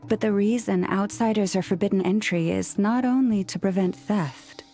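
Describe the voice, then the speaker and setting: A woman playing a gentle, solemn young leader of a sacred order in a video game, speaking in a measured, formal and earnest way. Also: mid-range voice, a little hiss or hum, natural voice. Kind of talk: video game character acting.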